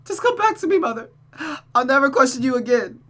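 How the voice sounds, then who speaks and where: A woman's voice: sits high, very animated, fairly quick, a bit bright, voice pushed up. A woman voicing a desperate, sobbing young woman who pleads and stammers, read from a visual novel. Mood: pleading, sobbing. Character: Rosa, sobbing desperate young woman devoted to cruel Mother. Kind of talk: visual novel character reading.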